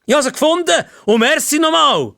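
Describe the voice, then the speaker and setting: A man voicing a curious, easily flustered old-fashioned man from the past in a children's story, with a Swiss accent and halting, repetitive phrasing. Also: very high-pitched, animated, vocal fry, bright, forceful tone, no room sound, studio quality, voice pushed up, full character voice. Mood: excited.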